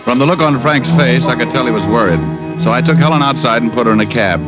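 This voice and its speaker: A man's voice: mid-range voice, fast talker, close to an everyday voice. An actor playing a wisecracking private eye who narrates his own case with dry, wry asides in old radio drama style. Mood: dry narration. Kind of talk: 1940s-50s radio detective acting and first-person narration. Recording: muffled old radio recording, music behind.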